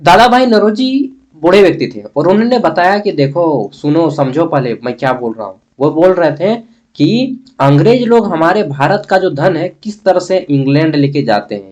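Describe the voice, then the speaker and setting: A man with a Jharkhand Hindi accent teaches in an informal, conversational way. His voice sits a little high. He repeats key terms and puts questions to himself, then answers them. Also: a little mellow. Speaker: history teacher. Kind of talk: informal exam-prep lesson.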